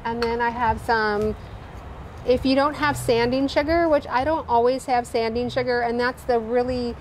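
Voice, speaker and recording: Lady chef explaining a recipe on an outdoor patio, kinda high voice, traffic noise behind. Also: normal speed. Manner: explanatory, relaxed, with fillers. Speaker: guest chef demonstrating a recipe. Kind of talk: cooking demonstration. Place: outdoor kitchen showroom patio. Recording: noisy outdoor recording.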